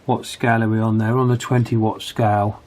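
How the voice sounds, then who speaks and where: A man giving a casual hands-on repair commentary, thinking aloud with pauses mid-phrase. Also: on the low side, flat delivery, darkish tone. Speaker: electronics repair vlogger.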